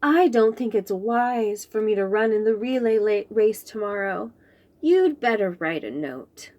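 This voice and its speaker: A woman's voice: mid-range voice, lively intonation, unhurried, a little mellow, close to an everyday voice. A woman reading a children's picture book aloud, voicing a theatrical little girl who loves fancy words. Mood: worried.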